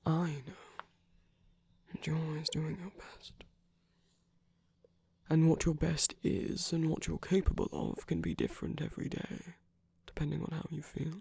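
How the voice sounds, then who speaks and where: A man playing a tender but commanding villain in a romantic ASMR roleplay, speaking softly and breathily with measured pauses, often dropping to a whisper. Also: on the high side, little pitch movement, vocal fry, slightly rough, heavily acted. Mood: tender, reassuring. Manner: slow, gentle, measured, with deliberate pauses. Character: tender, commanding male villain comforting his lover.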